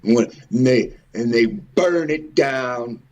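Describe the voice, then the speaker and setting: A man's voice: medium pitch, animated, slow, a little mellow, lo-fi sound. A man hosting an informal, impassioned commentary, ranting with repetition, rhetorical questions and swearing. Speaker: host of a religious and historical commentary show.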